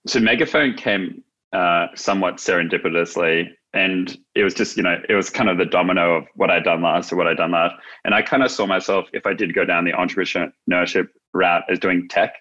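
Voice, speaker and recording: A man's voice: low voice, steady tone, fairly quick, pushed and bright. A young adult man with an Australian accent, chatting casually and reflectively as an interview guest on a video call. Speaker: interview guest, marketing agency founder. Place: indoors, small office room, on a video call. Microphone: video call, no headset seen. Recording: slightly dull video-call audio.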